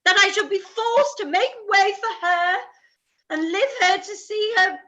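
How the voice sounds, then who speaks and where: A woman's voice: very high-pitched, measured pace, pushed and bright, exaggerated voice. A woman playing a fretful, self-pitying middle-aged mother in a period radio play, complaining and exclaiming melodramatically. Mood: shrill lament.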